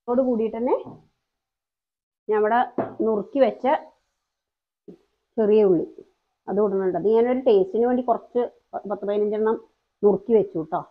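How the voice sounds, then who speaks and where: A woman's voice: mid-range voice, steady tone, moderate pace, long pauses, narrow, tinny audio. A woman hosting a home cooking channel, talking through each step in a chatty, explaining way. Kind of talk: cooking demonstration voice-over.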